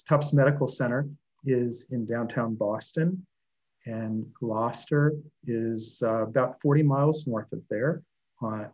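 An older man giving a conversational lecture from his home office over a video call, relaxed and unhurried with occasional fillers. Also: mid-range voice, low-quality recording. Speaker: dental school lecturer presenting. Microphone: video call webcam.

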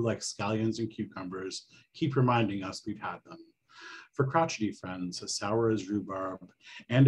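Middle-aged man, lowish pitch, poem reading, video call, faint background noise. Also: a little mellow, rough recording. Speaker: panel guest, playwright.

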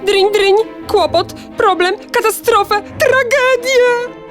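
A woman's voice: extremely high pitch, takes it slowly, soft background music. A woman voicing a fearful, dramatic toy telephone in a children's audio play, panicky and full of exclamations. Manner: panicky, exclamatory, interjecting ringing sounds. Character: frightened, dramatic toy telephone that cries out in alarm.

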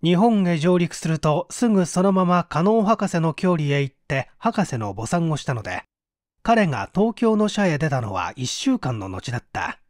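Male storyteller for mystery audiobook: measured, expressive, wide intonation, dry recording. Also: fairly high pitch, no room sound. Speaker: audiobook reader. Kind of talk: scripted storytelling narration of a Japanese mystery novel.

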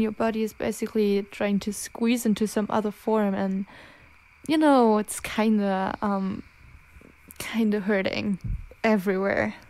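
A woman's voice: a little high, dark tone. A woman playing a frightened, evasive young werewolf girlfriend in an ASMR roleplay, stammering and pleading as she fights her transformation. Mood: pained, reluctant.

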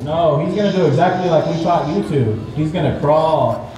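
A woman's voice: sits low, moderate pace, natural voice. Echoey, distant woman as a teacherly puppet. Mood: instructive. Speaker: puppeteer leading a fire safety show. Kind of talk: live children's puppet show dialogue. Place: large indoor mall, over loudspeakers. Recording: echoey hall from far off, music, crowd.

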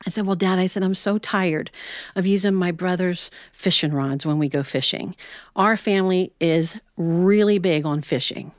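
A woman's voice: on the low side, normal speed, dry recording, studio quality. A middle-aged American woman, a storyteller telling a personal tale live to an audience. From Ohio, United States.

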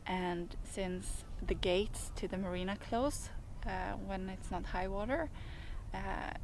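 A young woman chats casually to a camera out on a boat at anchor. She speaks slowly and hesitantly, feeling for her words, in a mid-pitched voice. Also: a little hiss or hum, poor audio. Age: young adult. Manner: halting, hesitant phrasing. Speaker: sailing vlogger. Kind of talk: casual vlog talk to camera. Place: outdoors on a sailboat at anchor.

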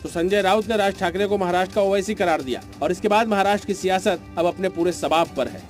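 A man narrates a scripted news report in a high-pitched voice. His delivery is dramatic and punchy, with faint music underneath. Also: fast talker. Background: light news music.

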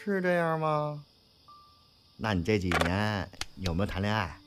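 Audio drama: man as cocky young male gangster, loyal at heart, slangy, very lively intonation. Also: fairly low pitch, measured pace, music in the background. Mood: probing. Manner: slangy, swearing, swaggering. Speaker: voice actor in a fan audio drama. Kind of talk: Chinese audio drama acting.

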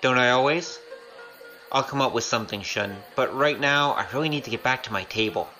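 An actor playing a cheeky, roguish young gambler with a wry manner, in an audio drama. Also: male voice, mid-range voice, fairly quick, soft background music, close to an everyday voice. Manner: animated, wry.

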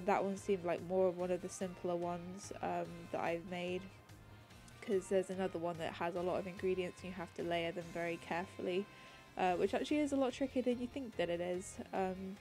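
A woman talks over her gameplay in a relaxed, meandering way, full of ums and restarts. Her voice is mellow and a little congested and raspy with a cold. Soft background music plays faintly under her voice. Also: on the low side, normal speed. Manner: rambling, many ums and restarts. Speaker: gaming channel host. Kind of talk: casual gameplay commentary.

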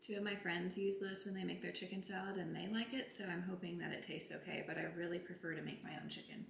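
A woman's voice: on the low side, steady tone, quick pace, gravelly, echoey room, noisy background. A young woman in her twenties vlogging casually, chatting upbeat about products and meal prep in her car and kitchen. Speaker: lifestyle vlogger. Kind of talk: casual vlog chat to camera. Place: parked car, then home kitchen.

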